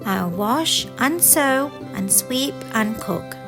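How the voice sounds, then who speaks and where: A woman voicing a gentle young princess in a children's fairy tale, polite and earnest in manner. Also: fairly high pitch, lively intonation, takes it slowly, music in the background. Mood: pleading. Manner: sweet, earnest, pleading.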